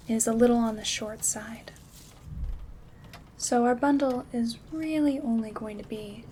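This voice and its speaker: A woman playing a calm, gentle witch in an ASMR roleplay, soft-spoken and often slipping into whispers as she gives step-by-step instructions. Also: a little high, a little hiss or hum. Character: calm, gentle witch crafting herb bundles. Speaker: ASMR host. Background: rain sounds.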